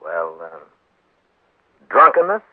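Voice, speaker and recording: An American man playing a dry, shrewd, unyielding frontier marshal in a 1950s radio western, speaking in a laconic, wry, deliberate way. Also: medium pitch, wildly swooping pitch, heavily acted. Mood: wry, needling. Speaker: lead actor in radio western. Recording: muffled, band-limited 1950s radio with echo.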